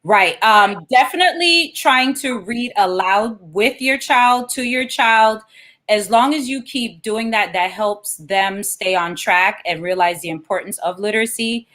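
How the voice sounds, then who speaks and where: A woman's voice: medium pitch, unhurried, fairly bright tone. A woman, a children's author, reading aloud and explaining things as an interview guest.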